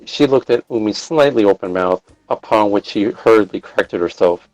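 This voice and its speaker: Male narrator for scripted fiction, on the low side, very dry sound. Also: normal speed, a little mellow, no room sound, soft background music, low-quality recording. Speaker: story narrator.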